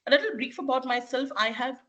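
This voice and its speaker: A woman with an Indian English accent and a husky voice from being unwell, opening a webinar as a trainer in an upbeat, polite way. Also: high-pitched, steady tone, fairly quick, a bit bright, highs cut off. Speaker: leadership coach and trainer. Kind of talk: webinar presentation. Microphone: computer mic on video call.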